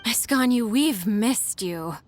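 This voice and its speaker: A woman playing a weary, adoring young office worker with a reverent, drawn-out delivery in an anime game. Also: a little high, lively intonation, slight background noise.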